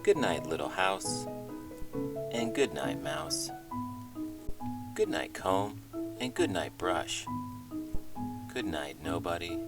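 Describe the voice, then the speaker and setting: A man's voice: low-pitched, big pitch swings, takes it slowly, long pauses, recorded from a distance, over loud music. A man hosting a bedtime read-aloud, delivering picture-book lines and scripted chat in a soothing storytelling style. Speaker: host and bedtime story reader.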